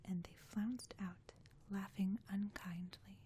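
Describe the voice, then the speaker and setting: A woman whispering softly and breathily in a gentle, soothing ASMR style, as a caring friend reading a bedtime story. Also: medium pitch, fairly even intonation, slow pace, a little hiss or hum.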